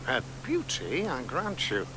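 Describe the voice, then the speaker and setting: A man's voice: medium pitch, huge pitch swings, a little slow, background noise. An actor playing a skeptical, teasing male friend in a radio drama.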